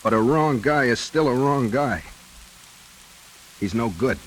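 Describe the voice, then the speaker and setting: A man's voice: mid-range voice, animated, unhurried, a bit bright, poor audio. A male actor playing a hard-boiled, world-weary bank robber with a decent streak, terse and slangy in 1940s radio noir style. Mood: earnest, brooding. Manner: terse, slangy tough-guy talk. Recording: hissy 1940s radio recording, rain behind.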